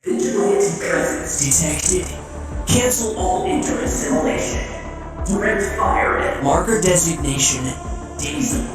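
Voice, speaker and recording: A hostile military AI with a fairly high male voice. It issues cold, clipped commands, heavily echoing from afar beneath music. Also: expressive, measured pace. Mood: cold, commanding. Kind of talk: sci-fi game announcement acting. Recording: very echoey, distant, behind music.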